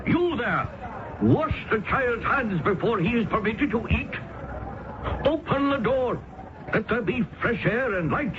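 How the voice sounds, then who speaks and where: An actor playing a devoted, stubbornly protective Indian servant man in old-time radio drama, speaking formally and emphatically. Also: high voice. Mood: scolding. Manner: formal, archaic, emphatic pleading speech. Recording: tinny, noisy old radio recording.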